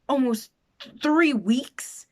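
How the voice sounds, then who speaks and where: A woman playing a frightened, bitter foster girl in a dramatic confessional monologue, halting and emotional in her delivery. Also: high voice, a little mellow, full character voice. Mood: anguished, agitated. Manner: halting, emotional, breaking into whispers and raised outbursts.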